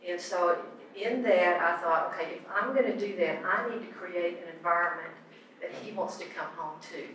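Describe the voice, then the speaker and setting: A woman's voice: fairly low pitch, moderate pace, a bit bright, a little hiss or hum. An older woman telling about her marriage on a church panel, rambling and correcting herself as she talks into a handheld mic. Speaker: pastor's wife. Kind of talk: sharing her story on a panel. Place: church stage, large room. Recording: echoey hall, heard from far off.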